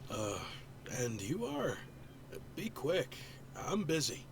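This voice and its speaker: A man's voice: a little high, wildly swooping pitch, slow pace, gravelly, noisy background, full character voice. A man playing a busy, irritable townsman in a video game, curt and dismissive. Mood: irritated, curt. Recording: game music under the voice.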